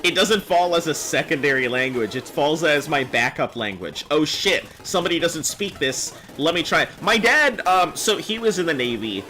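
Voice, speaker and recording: Bright youthful-sounding man in his thirties. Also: a little high, expressive, normal speed, few pauses, a little hiss or hum. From United States. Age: 30s. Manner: youthful-sounding, chatty, rambling with fillers. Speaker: streamer. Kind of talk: casual stream chat.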